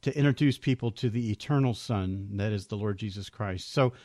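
A man's voice: lowish voice, fairly quick, dark tone, no room sound, clean studio sound. A man hosting a faith podcast, giving an earnest, upbeat scripted introduction and then interviewing a guest. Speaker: podcast host.

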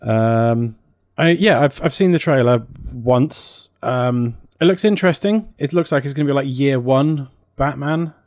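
A man talks as a podcast co-host in casual conversation, recorded very dry. He thinks aloud, often hesitant as he feels for the words, at an ordinary male pitch. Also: measured pace, hardly any pauses, a little mellow, no echo. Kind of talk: casual chat about games and films.

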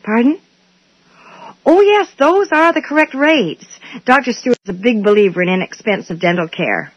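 A woman playing a brisk, officious but polite dental receptionist in a 1980s radio drama. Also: a little high, animated, no echo. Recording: dull old radio recording.

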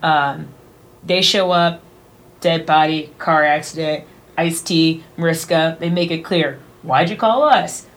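A woman's voice: low voice, a little slow. A woman co-hosting a podcast in casual, playful chat, laughing often and acting out bits of mock dialogue. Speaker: podcast co-host.

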